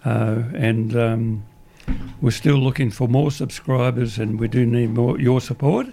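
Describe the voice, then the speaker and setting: Australian male community radio host, gravelly and croaky, rambling, upbeat announcements. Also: medium pitch, fairly even intonation, a little slow, dark tone. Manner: rambling, restarts often, upbeat.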